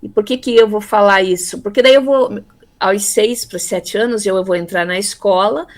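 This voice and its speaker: A woman in her fifties from Brazil, telling her life story in a relaxed interview, pausing often with fillers and repeated words. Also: mid-range voice. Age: 50s. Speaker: interviewee, theology graduate. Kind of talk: casual conversational interview.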